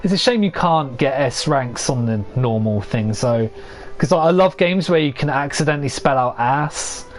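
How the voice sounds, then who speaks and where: A young man giving rambling, casual commentary to his chat as he plays, laughing often at his own jokes and a little hoarse from losing his voice. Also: mid-range voice, expressive, normal speed. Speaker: streamer.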